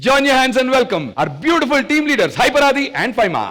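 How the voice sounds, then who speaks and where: High-pitched male stage host in a big hall. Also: big pitch swings, background noise. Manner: theatrical, drawn-out announcing. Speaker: host of a dance reality show. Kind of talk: stage show announcing. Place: large stage studio. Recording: long hall reverb, music behind.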